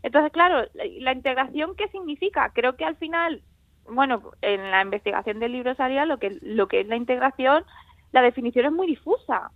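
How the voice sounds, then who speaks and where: A young woman from Spain, an author and illustrator, talking in a lively way and laughing often as a radio guest on the phone. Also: sits high, fairly quick, no echo. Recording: tinny phone line.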